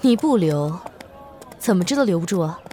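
A woman's voice: lowish voice, expressive, moderate pace, warm tone, slight background noise, natural voice. A woman playing a loyal bodyguard disguised as a man, composed and earnest with a regretful streak, in a period audio drama. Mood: frank, steady.

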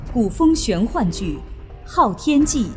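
A woman announcing the production's title and credits in a formal, measured scripted delivery. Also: a little high, very animated, faint music behind.

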